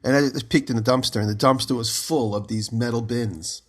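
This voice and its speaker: A man co-hosting a podcast, just out of bed with his voice lower than usual, telling long rambling stories from home over a remote call. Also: brisk. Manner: rambling storyteller, giggly. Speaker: podcast co-host. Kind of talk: casual chat.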